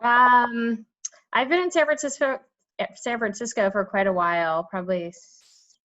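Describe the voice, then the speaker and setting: A woman's voice: on the high side, moderate pace, a bit bright. Hesitant woman on a dull call line. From New York. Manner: hesitant, self-deprecating. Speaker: club member. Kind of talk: casual self-introduction. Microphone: video call.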